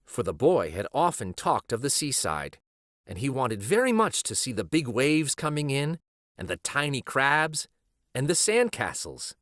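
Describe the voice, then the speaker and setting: Expressive man reading a storybook aloud. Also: medium pitch, unhurried, no echo, clean studio sound. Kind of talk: expressive scripted storybook narration.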